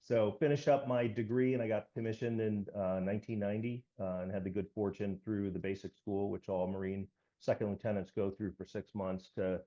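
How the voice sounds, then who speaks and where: An American man in his fifties, a podcast guest recounting his past in a genial, measured way with hesitant phrasing. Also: fairly low pitch, level tone, dark tone. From United States. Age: 50s. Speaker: podcast guest, military veteran. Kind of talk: conversational interview, recounting. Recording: slightly dull, lo-fi audio.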